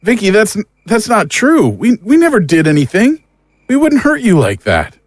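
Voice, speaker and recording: A man's voice: sits high, huge pitch swings, moderate pace, no room sound, exaggerated voice. A man playing an earnest, troubled man in a naturalistic audio drama. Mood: alarmed, pleading. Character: earnest, troubled man pleading with a lost love.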